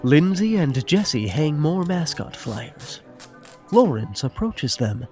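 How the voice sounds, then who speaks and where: A man's voice: a little high, very animated, slow pace, darkish tone, faint music behind. A man narrating a scripted audio drama, describing the scenes.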